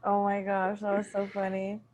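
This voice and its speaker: A woman's voice: medium pitch, completely flat delivery, moderate pace, crowd noise. A woman hosting a comedy podcast, chatting casually with the other performers after an improvised scene. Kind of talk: casual post-show banter.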